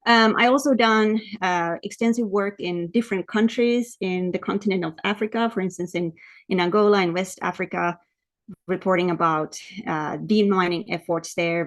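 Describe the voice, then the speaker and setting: Lower-pitched woman with a Finnish accent. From Lapland, Finland. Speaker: academic researcher presenting at a webinar. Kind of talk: informal academic lecture. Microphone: video call.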